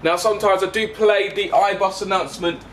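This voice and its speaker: A man, vlogging casually as a bus driver, halting and repeating himself with frequent check-in tags, recorded on a handheld camera inside a bus. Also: sits high, fairly even intonation, fairly bright tone. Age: 20s. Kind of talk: casual explanatory vlog addressed to passengers. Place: inside a parked double-decker bus. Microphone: handheld camera at arm's length. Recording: background vehicle noise inside a bus.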